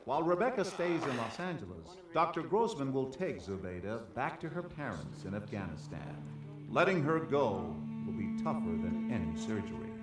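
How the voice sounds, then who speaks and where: A male documentary narrator reads a scripted news report, his pitch rising and falling with animation. The sound is roomy and set back from the mic. Music plays underneath. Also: sing-song, normal speed, far from the mic.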